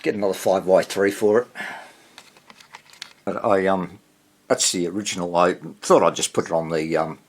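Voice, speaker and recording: A man giving casual, hands-on repair commentary as he hosts a video, thinking aloud in a husky voice. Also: low-pitched, fairly even intonation, normal speed, long pauses, slight background noise. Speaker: vintage radio repair hobbyist hosting video.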